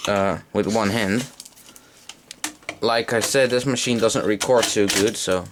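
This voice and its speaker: A man casually talking through an unscripted hands-on gear demonstration, a little hesitant as he goes. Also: on the low side. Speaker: hobbyist demonstrating vintage audio gear. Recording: noisy amateur camera sound with clicks.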